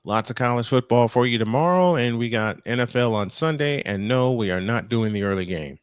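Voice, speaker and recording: A man's voice: mid-range voice, expressive, brisk, smooth, no echo. Male baseball play-by-play announcer, dry close sound. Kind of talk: live sports commentary mixed with casual chat.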